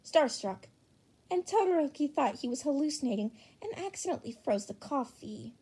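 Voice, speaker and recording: A young woman narrates a piece of fan fiction. Her voice sits fairly high and she reads as a scripted audiobook narrator. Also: lively intonation, moderate pace, warm tone.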